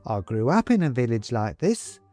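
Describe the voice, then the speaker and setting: A man's voice: mid-range voice, sing-song, moderate pace, warm tone, faint music behind, natural voice. A man voicing a stoic china woman in a storybook, a plain-spoken, protective mother. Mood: recognising. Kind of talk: storybook character voice in audiobook.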